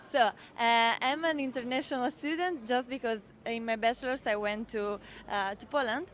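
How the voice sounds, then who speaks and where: Female student interviewee, twenties, Italian accent, slightly high pitch, faint ambient noise. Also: moderate pace, fairly bright tone. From Italy. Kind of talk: informal interview answers.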